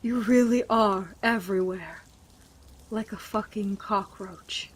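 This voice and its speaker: A woman acting a slow, sardonic tough survivor. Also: mid-range voice, slight background noise, close to an everyday voice. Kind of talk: fan audio drama dub acting.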